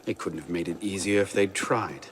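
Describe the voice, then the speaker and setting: An actor playing a stealthy, self-assured young assassin in a video game, muttering dry, understated asides. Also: male voice, deep voice, moderate pace, noisy background, close to an everyday voice. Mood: cool, confident. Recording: music over the voice. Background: music.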